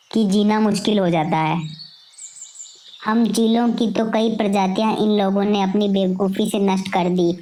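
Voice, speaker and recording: A woman voicing an indignant eagle, bitter about humans, in a deliberate scolding manner for an animated moral story. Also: mid-range voice, lightly acted.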